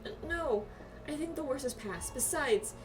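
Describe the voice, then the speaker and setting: A woman voicing a timid, apologetic girl from a fantasy game, with a hesitant, halting delivery. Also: high-pitched, lively intonation, background noise, voice pushed up. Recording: distant mic, music behind.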